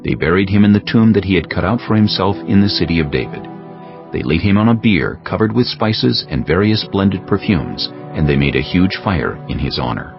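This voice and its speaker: A man narrating scripture as a scripted audiobook, in a solemn, measured storytelling manner. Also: low voice, animated, gravelly. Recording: dull sound with music bed.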